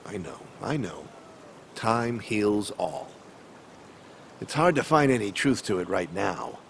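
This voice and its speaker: A man's voice: on the low side, expressive, slow pace, gravelly, a little hiss or hum, heavily acted. An actor playing a kindly, melancholy older newspaperman in a video game, wistful and slightly literary in his phrasing. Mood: mournful, reflective. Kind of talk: video game cinematic dialogue.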